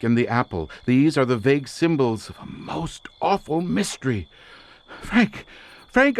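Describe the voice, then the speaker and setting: An actor playing a feverish, grandiose researcher near madness, declaiming with portentous fervor in a horror audio drama. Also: male voice, high-pitched. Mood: awed, dreadful. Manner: theatrical, portentous, fervent declamation.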